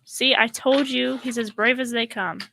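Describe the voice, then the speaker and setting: A woman's voice: on the high side, fairly even intonation, moderate pace, vocal fry, bright, forceful tone, slight background noise. A young female performer playing a dim-witted, sweet, naive secretary in old radio comedy style. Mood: gushing, naive. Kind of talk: 1940s radio comedy acting.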